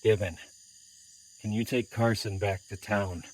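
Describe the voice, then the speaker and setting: A man's voice: fairly low pitch, unhurried, poor audio. A man playing a big, easygoing robot adventurer with a casual, protective manner in an audio drama. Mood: guarded. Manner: casual, calm, conversational.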